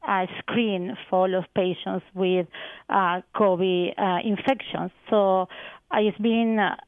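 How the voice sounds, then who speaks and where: A woman's voice: mid-range voice, fairly even intonation, takes it slowly, no room sound. A woman from Argentina, a research associate, answering interview questions on a call, hesitant with many fillers and restarts. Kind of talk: radio interview guest. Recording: tinny phone line.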